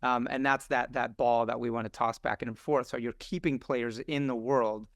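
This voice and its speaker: A man chatting casually on a podcast as a guest game developer, making an enthusiastic pitch and often checking in with "right?". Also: fairly even intonation, normal speed, dry recording, clean studio sound. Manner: enthusiastic, punctuates points with "right?".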